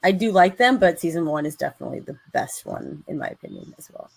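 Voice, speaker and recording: A woman with a lowish, gravelly voice chatting casually on a live stream. She talks unhurriedly and trails off mid-thought, in dry, close sound. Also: huge pitch swings, fast, no echo. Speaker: co-host.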